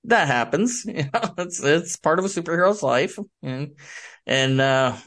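A man speaking as a guest author in a casual interview, explaining things in a halting, rambling way. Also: fairly high pitch, lively intonation, a bit bright. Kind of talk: casual interview chat about his book.

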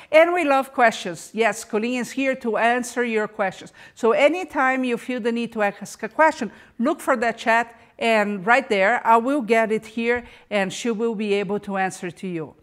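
Brief: enthusiastic female podcast host, slightly high voice, video-call sound. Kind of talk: live podcast interview.

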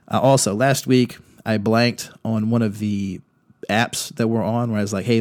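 A man hosting a podcast, his voice a little rough from recent illness, chatting casually and bluntly through show announcements with frequent fillers. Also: fairly low pitch, a little mellow, no room sound, clean studio sound. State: slightly rough voice after illness. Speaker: podcast host.

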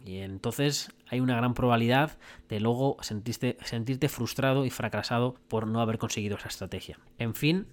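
A man's voice: medium pitch, normal speed. A man giving an earnest motivational monologue as a self-help podcast host, talking straight to the listener and swearing for emphasis. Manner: earnest, repetitive, addresses listener directly with "oye" and "mira".

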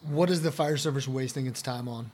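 A man hosting an interview, asking questions in a halting way with stumbles. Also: medium pitch, a little mellow. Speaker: podcast host.

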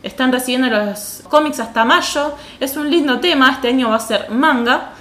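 Higher-voiced Argentine woman, echoey room. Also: moderate pace, a bit bright. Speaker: podcast co-host. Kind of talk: casual podcast chat. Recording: roomy echo.

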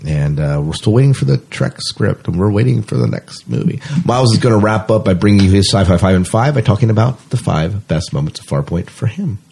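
A man co-hosting a podcast in casual chat, with jokey banter and words repeated in quick agreement. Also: on the low side, animated, gravelly, a little mellow, slight background noise. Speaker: podcast co-host. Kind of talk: casual chat, running through the show's lineup.

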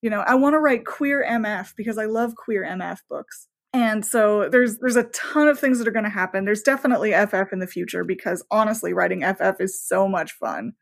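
A woman's voice: medium pitch, fairly quick, vocal fry, few pauses. Chatty, effusive woman being interviewed. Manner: informal and effusive, with emphatic asides. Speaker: podcast guest, romance novelist. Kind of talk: casual, chatty podcast interview.

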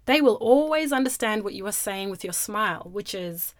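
A woman's voice: medium pitch, moderate pace, no room sound. Cheerful woman, dry close sound. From Zimbabwe. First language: Shona. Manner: cheerful, expressive storytelling. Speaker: presenter. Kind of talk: scripted narration for children.

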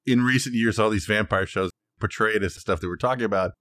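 A man co-hosting a podcast in casual conversation, agreeable and quick to interject. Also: on the low side, a bit bright. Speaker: podcast co-host. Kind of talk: casual chat.

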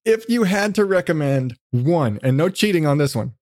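Male podcast host, slightly high voice, dry close sound. Rambling, self-deprecating, sweary, conversational. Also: animated, moderate pace, a little mellow, dry recording. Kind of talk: casual chat interview.

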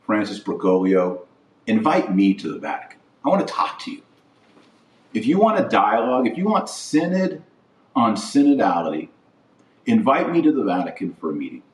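A middle-aged man hosting a podcast, giving emphatic opinion commentary in short, repeated declarative phrases. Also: sits low. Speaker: podcast host. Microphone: webcam setup. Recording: roomy echo, distant microphone.

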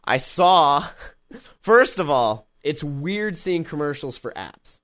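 A man hosting a casual tech podcast, joking around and laughing often between quick remarks. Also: on the high side, sing-song, fairly bright tone. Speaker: podcast host.

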